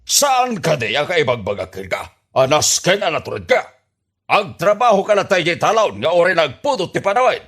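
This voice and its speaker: A man's voice: on the high side, sing-song, moderate pace, fairly bright tone, full character voice. A man playing a strict, blustery retired soldier father in an Ilocano radio comedy drama, with an Ilocano accent and a bossy, emphatic delivery. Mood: bossy, proud.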